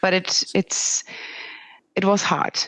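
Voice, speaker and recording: A middle-aged woman with a Swiss German accent, speaking English as a second language, talking candidly as a podcast guest over a remote call with halting restarts. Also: mid-range voice, completely flat delivery, fairly bright tone, low-quality recording. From Switzerland. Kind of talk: interview conversation.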